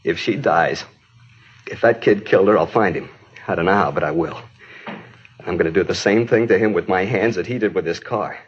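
An actor playing a distraught, exhausted, bitter husband in an emotional 1950s radio drama style. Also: male voice, sits low, a little fast, breathy edge. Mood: vengeful, menacing. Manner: anguished, repetitive, emotional. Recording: dull, muffled 1950s radio recording.

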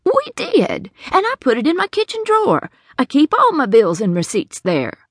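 Woman voicing a distraught, high-pitched swindled wife. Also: huge pitch swings, moderate pace, no echo, studio quality. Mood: anxious. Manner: high, trembling and pleading. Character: distraught middle-aged woman cheated of her savings.

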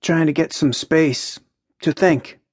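A woman's voice: sits low, slow, darkish tone, husky, no echo, studio quality, voice pushed up, full character voice. A woman narrator as a nonchalant, wry young man. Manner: nonchalant, understated.

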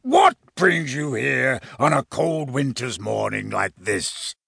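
A man voicing a huge, hospitable giant wizard in a storybook, with a booming, thunderous voice and hearty delivery. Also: sing-song, takes it slowly, creaky, sharp tone, breathy edge, dry recording, studio quality, heavily acted. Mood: hearty, welcoming.

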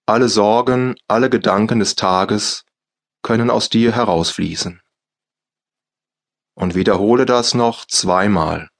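A man with a German accent guiding a yoga nidra relaxation in slow, soft, calm instructions with long pauses. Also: fairly low pitch, creaky. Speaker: meditation guide. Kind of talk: guided yoga nidra meditation.

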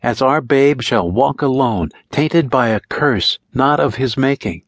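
A man voicing a vengeful, heartbroken young witch who chants her curse slowly and solemnly. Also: mid-range voice, no echo, clean studio sound, pitched above the performer's own voice. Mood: chanting. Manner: slow solemn incantation.

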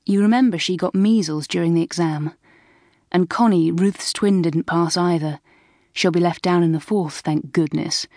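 A woman's voice: on the low side, normal speed, darkish tone, no room sound, studio quality, natural voice. A woman voicing a self-assured, chatty schoolgirl of about sixteen in a storybook. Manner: chatty, slightly superior.

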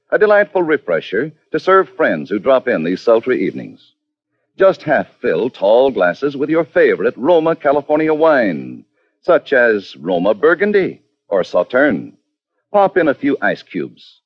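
A man's voice: medium pitch, dry recording. An American man announcing a polished, salesmanlike advertisement in 1940s radio style. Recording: dry, dull old radio recording.

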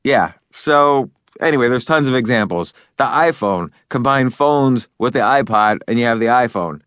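A man's voice: mid-range voice, animated, moderate pace, a bit bright, dry recording. A man narrating his own book in a loose, conversational way, with fillers and restarts as he talks over each point. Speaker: author and narrator.

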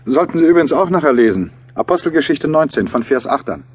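A man's voice: fairly high pitch, expressive, fast talker, husky. A man playing a devout, probing writer riding in a taxi in a radio play, terse and earnest. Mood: preoccupied, earnest. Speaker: actor in an audio drama. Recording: noisy, with car and traffic sounds. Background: car engine and street ambience.

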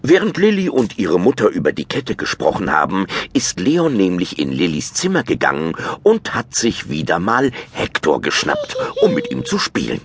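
A man's voice: low-pitched, wildly swooping pitch, moderate pace, noisy background. A man reading scripted narration for a children's audio drama.

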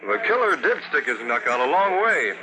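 An actor playing a composed, determined newspaper publisher in an old-time radio drama, talking in a measured way. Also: male voice, on the high side, very animated, poor audio. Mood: measured, resolute.

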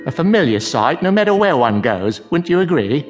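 Give this voice to a mocking, theatrical clown in a radio play. His pitch leaps and dips through florid, arch phrases. He sounds blithely resigned, with faint music behind him. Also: very animated, a little fast. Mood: breezy, arch. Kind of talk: radio drama acting.

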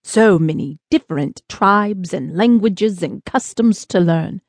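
A woman voicing a tall, courtly gentleman from space in an audiobook, gracious and formal in manner. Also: animated, takes it slowly, dry recording, studio quality, full character voice. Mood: agreeable. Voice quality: high-pitched.

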